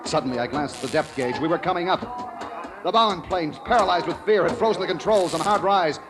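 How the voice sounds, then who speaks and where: A man's voice: on the high side, a little fast, music in the background, close to an everyday voice. A man playing an American submarine captain who barks clipped orders and narrates tersely in 1940s radio drama style. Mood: grim suspense. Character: American submarine captain, commanding, urgent, narrating his own story. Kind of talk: 1940s old-time radio drama acting. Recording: vintage 1940s radio broadcast.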